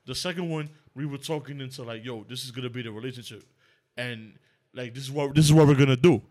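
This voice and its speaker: A man's voice: mid-range voice, quick pace, darkish tone. A man in his late twenties chatting casually on a group podcast, slangy and insistent, often talking over others. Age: late 20s. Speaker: podcast panelist. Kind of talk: casual heated group chat.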